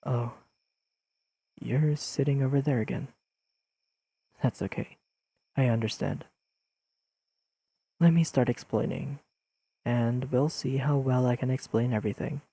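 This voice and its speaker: A man playing an earnest, awkwardly analytical young best friend in an intimate audio roleplay, speaking haltingly and carefully to the listener. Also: medium pitch, gravelly, breathy edge, dry recording. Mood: careful, explaining. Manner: halting, careful, softly pleading, slightly formal wording.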